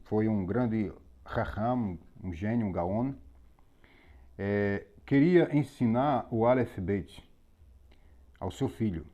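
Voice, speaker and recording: Slow methodical man teaching. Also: fairly low pitch, mellow. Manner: slow, methodical explaining with pauses and repetitions. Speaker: religious teacher. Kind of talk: teaching a lesson on Hebrew letters. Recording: slightly dull lo-fi recording.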